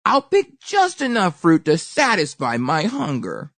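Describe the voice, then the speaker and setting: A woman voicing a crafty, greedy male storybook spider who pleads insincerely in drawn-out words. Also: lowish voice, wildly swooping pitch, fairly bright tone, dry recording, voice pushed up, full character voice. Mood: wheedling, pleading.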